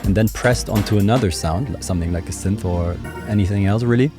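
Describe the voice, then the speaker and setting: A man's voice: lowish voice, fast talker. A man in his thirties giving a casual, conversational music production tutorial, explaining as he thinks aloud. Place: small indoor home studio. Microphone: desk microphone close up.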